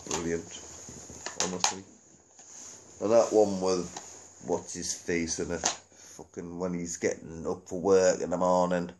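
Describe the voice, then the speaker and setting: A man's voice: low voice, little pitch movement, a little slow, long pauses, noisy background. A middle-aged British man chatting casually and ramblingly about things, with colloquial, sweary speech and frequent chuckles. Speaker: amateur DVD reviewer. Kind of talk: casual rambling chat to camera.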